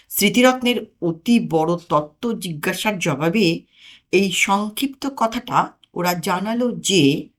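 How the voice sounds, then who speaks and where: A woman reads a humorous story aloud at a slow pace. Her delivery is dramatic and very lively in pitch, with deliberate pauses. Her voice sits in the middle range for a woman. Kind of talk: comic audiobook narration.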